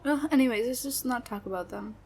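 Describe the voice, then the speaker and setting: A young woman voicing a sad best friend from a troubled home who hides her hurt, talking haltingly with nervous little laughs and trailing off. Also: a little high, darkish tone, slight background noise, natural voice. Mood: forced cheer. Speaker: voice actor. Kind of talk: audio roleplay.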